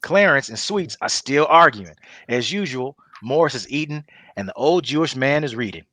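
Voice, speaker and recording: A man's voice: medium pitch, expressive, moderate pace, bright, forceful tone, dry recording. A man reading stage directions as narrator of a comedy table read.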